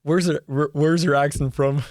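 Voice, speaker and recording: A man's voice: fairly high pitch, steady tone, a little slow, a little mellow, no room sound. A man from Quebec with a Quebecois French accent, hosting a podcast in a loose, chatty way with plenty of fillers and easy laughs. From Quebec, Canada. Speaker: podcast host. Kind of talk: casual interview chat.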